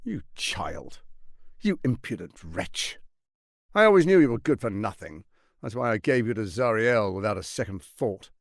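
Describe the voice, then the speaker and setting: A man's voice: on the low side, very animated, normal speed, vocal fry, no echo, clean studio sound. A man voicing a suave, scheming, arrogant archduke in a fantasy video game, silky, grandiose and condescending in his theatrical delivery. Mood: angry, threatening.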